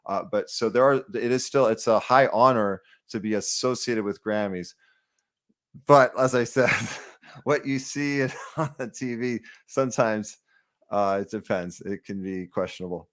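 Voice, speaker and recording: Male musician guest, casual chat, lowish pitch. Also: measured pace.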